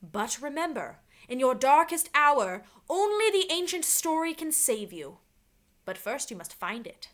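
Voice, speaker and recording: A woman voicing a wise enchantress in a children's storybook, giving instructions in a formal, deliberate, commanding way. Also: high voice, sing-song, fairly bright tone, full character voice. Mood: solemn, instructing.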